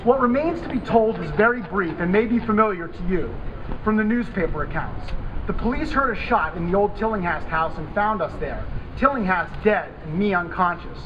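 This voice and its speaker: Guy reading an old horror story out loud, highish voice, dramatic, muffled noisy live recording. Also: animated, normal speed. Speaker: story reader. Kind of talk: dramatic literary horror read-aloud. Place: public reading event, recorded on camera. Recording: dull, noisy live event camera audio.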